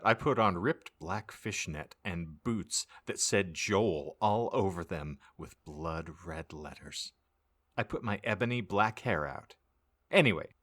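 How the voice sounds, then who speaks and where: A man narrates a comic audiobook in a deep, bright-edged voice. His pitch swings widely as he reads, giving it a theatrical, mock-serious delivery. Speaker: podcast host reading aloud.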